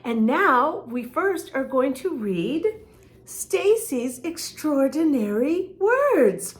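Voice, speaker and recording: A woman's voice: sits high, slow pace. A woman reading a picture book aloud to young children, talking directly to them and carefully sounding out words. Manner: animated, addresses young listeners, spells words out letter by letter. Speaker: children's story reader, host.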